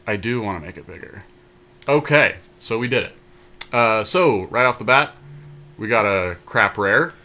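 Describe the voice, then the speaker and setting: A man's voice: lowish voice, expressive, moderate pace, bright, forceful tone. A man co-hosting a podcast, giving casual, laid-back commentary on a card game as he thinks aloud. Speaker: podcast co-host. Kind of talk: casual commentary while playing a card draft.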